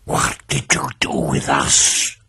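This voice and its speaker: A man voicing vengeful dead spirits that speak through another man, in a strange, hissing, breathy rasp with slow menace. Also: pushed and bright, dry recording, studio quality. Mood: cold menace.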